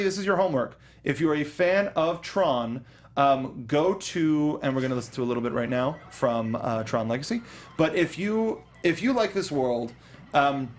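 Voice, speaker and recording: A man co-hosting a casual chat about films and games, enthusiastic and rambling, full of fillers and restarts. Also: on the high side. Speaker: podcast co-host.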